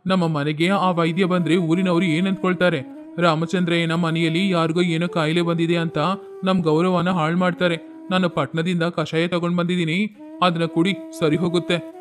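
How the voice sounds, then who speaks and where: A man's voice: fairly high pitch, little pitch movement, moderate pace, music in the background, natural voice. A man voicing a proud, stern village elder in a moral story, haughty and scolding. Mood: angry, scolding.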